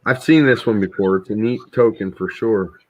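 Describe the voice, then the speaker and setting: A man with an extremely low voice, speaking as a panelist in a casual chat with short, laid-back remarks. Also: moderate pace, darkish tone. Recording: dull lo-fi sound.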